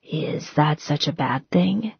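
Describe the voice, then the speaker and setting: A woman voicing a tender, supportive bridegroom in a soft, breathy, intimate manner for a romance audiobook. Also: low-pitched, animated, slow, dry recording, highs cut off, full character voice. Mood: tender, low.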